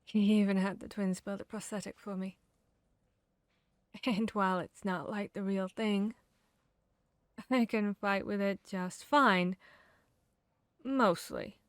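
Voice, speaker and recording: A woman acts as a young female vampire hunter in a fantasy ASMR roleplay, haunted yet self-deprecating. She speaks slowly and softly at a medium pitch, pausing as she confides. The sound is dry, with no room around the voice. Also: steady tone, clean tone, no echo, close to an everyday voice. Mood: wry, resigned.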